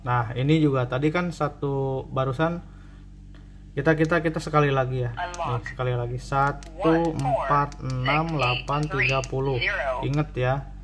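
A man's voice: medium pitch, fairly even intonation, moderate pace, a crowd in the background. A man giving a casual hands-on tutorial as a repair technician, repeating and rephrasing as he explains. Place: indoor cluttered repair workbench.

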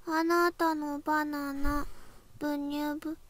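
A woman's voice: sits high, level tone, normal speed, fairly bright tone, no echo. A woman voicing a sweet, innocent young girl in a visual novel, with a dreamy, childlike manner. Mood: airy, innocent. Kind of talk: Japanese visual novel voice acting. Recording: music behind.

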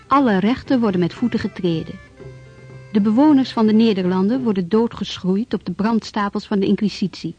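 A woman narrating a historical radio play in a grave, measured way. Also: medium pitch, dark tone. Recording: dull, dry vintage radio recording.

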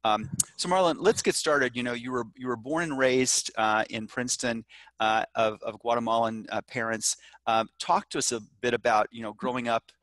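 A middle-aged man hosting an interview over a video call on a headset mic from home, brisk and chatty with many fillers. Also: medium pitch, a bit bright, no room sound, poor audio. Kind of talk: hosted interview. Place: room at home.

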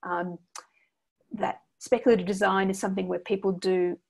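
A woman's voice: mid-range voice, fairly even intonation, moderate pace, gravelly, darkish tone, slight background noise. An Australian woman curator talking on a video call, rambling and searching for words. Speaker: exhibition curator. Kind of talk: casual video call chat. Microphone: laptop video call.

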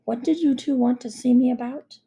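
A pompous, muddled king from a children's storybook, played by a woman. He blusters loudly and dismissively in a dark, creaky voice, entirely sure of himself. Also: sits high, fairly even intonation, brisk. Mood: brisk. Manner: blustering, emphatic, self-assured.

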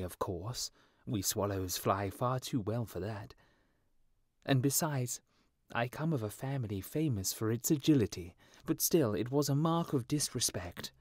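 A man voicing a small, vain, chatty storybook swallow with a brisk, self-important, complaining manner. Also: medium pitch, darkish tone, no room sound, clean studio sound. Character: small vain chatty male swallow, fussy but kind.

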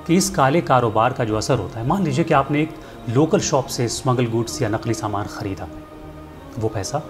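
Earnest middle-aged man addressing camera. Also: mid-range voice. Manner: earnest, persuasive, rhetorical questions to viewer. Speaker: filmmaker and storyteller presenting a commentary segment. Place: indoor studio set. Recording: music bed underneath.

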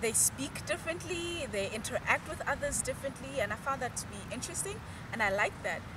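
A woman's voice: high-pitched, lively intonation, brisk, noisy background. Fluent upbeat woman, slightly distant. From South Africa. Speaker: exchange student. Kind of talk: casual interview answers.

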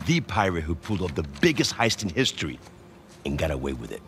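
Deep-voiced, greedy Latin prison boss. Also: male voice, very animated, quick pace, a bit bright, soft background music, heavily acted. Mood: grandiose, insistent. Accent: Latin American Spanish. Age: middle-aged. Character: corrupt, greedy middle-aged male prison boss. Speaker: prison warden or guard. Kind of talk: video game cutscene acting.

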